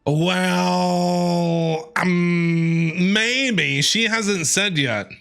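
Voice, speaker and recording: A woman streamer reacting live to a game, excitable and talking along to the characters. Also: lowish voice, very slow, clear, resonant tone.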